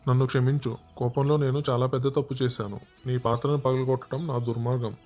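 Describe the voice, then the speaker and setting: An actor playing a proud king in a cartoon, speaking in a formal, measured way. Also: medium pitch, flat delivery, darkish tone, lightly acted. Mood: remorseful. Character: proud adult king, decisive, later remorseful. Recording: music under the voice.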